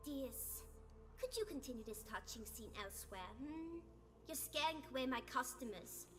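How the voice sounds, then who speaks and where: A woman's voice: high voice, lively intonation, moderate pace, fairly bright tone. A woman playing a sly, mercenary street dealer in a fantasy video game, with a condescending, sugary manner. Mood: mocking. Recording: music behind the voice.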